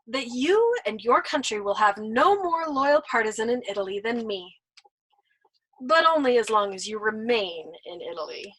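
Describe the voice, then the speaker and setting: Woman doing a smug old man, very old, teasing, worldly, breathy, lively pitch, storybook reading. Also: normal speed, low-quality recording. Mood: suave. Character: suave, mocking, shameless old man over a hundred.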